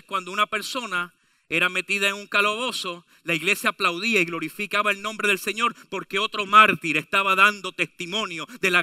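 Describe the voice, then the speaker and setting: High, bright-voiced male preacher. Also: no echo. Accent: Latin American Spanish. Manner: emphatic, rhetorical questions and restatements. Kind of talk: sermon.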